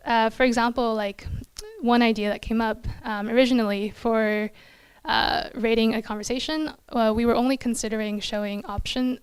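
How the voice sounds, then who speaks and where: Young woman presenting, very clear smooth voice. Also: fairly high pitch, dry recording. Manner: conversational, peppered with "like" and "kind of". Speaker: product designer giving a conference talk. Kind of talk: informal presentation to an audience. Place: small indoor event room. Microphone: handheld microphone.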